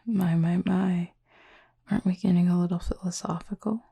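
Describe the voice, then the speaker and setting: A woman playing a thoughtful, soft-spoken cupid roommate in an intimate audio roleplay, with a breathy, husky voice and measured, gently formal delivery. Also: on the low side, steady tone, no room sound. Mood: gently teasing.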